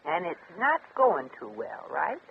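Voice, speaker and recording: An actress playing a breezy, worldly, wisecracking fortune teller with a flip, streetwise manner, in 1950s radio comedy style. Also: female voice, on the low side, huge pitch swings, unhurried, creaky, full character voice. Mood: knowing, coaxing. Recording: crackly, tinny old radio recording.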